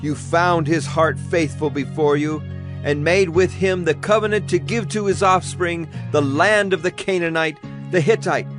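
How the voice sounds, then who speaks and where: A high male voice declaims over music. It is a devout Levite played by a man exalting God in public prayer. The delivery is fervent and reverent, close to a chant, in the manner of dramatized scripture. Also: moderate pace, lightly acted. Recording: music bed under the voice.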